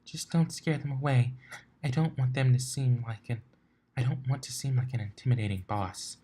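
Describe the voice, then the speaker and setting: A man voicing a shy, gentle female pegasus pony who speaks softly and hesitantly. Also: medium pitch, normal speed, lightly acted. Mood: meek, unsure. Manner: hesitant, soft, breathy.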